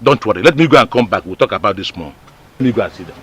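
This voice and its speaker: A man's voice: fairly low pitch, lively intonation, racing, fairly bright tone, slightly rough, dry recording. An actor playing an indignant, protective middle-aged village father. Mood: reassuring. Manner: outraged, rhetorical "eh?".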